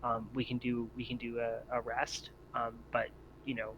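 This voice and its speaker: Guy game mastering, medium pitch voice, halting and hesitant, bit muffled lofi w/ slight hiss. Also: completely flat delivery. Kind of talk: improvised tabletop game narration. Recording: dull lo-fi audio, faint noise.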